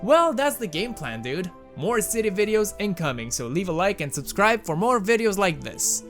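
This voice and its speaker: Young male host, Romanian accent, animated slightly high delivery, self-mocking asides, faint music under. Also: moderate pace. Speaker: gaming video host. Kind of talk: casual game mod commentary voice-over.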